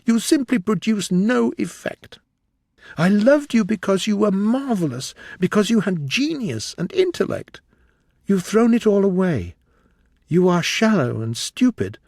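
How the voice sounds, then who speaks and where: A man playing a scornful young gentleman in a rage. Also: high voice, very animated, unhurried, creaky, no echo, studio quality, voice pushed up, exaggerated voice. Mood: scornful fury. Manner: impassioned, scornful declamation. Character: cruel disdainful young gentleman, contemptuous.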